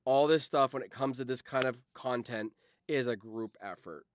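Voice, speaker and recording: A man's voice: mid-range voice, normal speed, slight background noise. A man hosting a livestream in casual chat, rambling and swearing freely as he talks. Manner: rambling, profane. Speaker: livestream host.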